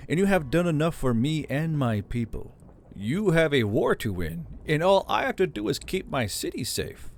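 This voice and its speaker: Man reading smug male city lord, nonchalant, gloating, lively pitch, gravelly, audiobook. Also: moderate pace.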